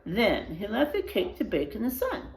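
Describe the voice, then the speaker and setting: A woman reads a children's tale aloud with lively, swinging intonation. Her voice has some creak, and the room adds echo. She breaks off for asides to her listeners. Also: medium pitch, normal speed. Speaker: read-aloud storyteller. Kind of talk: expressive children's story reading with asides to listeners.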